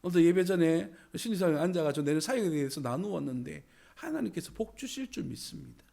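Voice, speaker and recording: A male pastor preaching a sermon in a small church, hoarse from a cold, with emphatic rising exclamations. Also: on the high side, animated, quick pace, mellow.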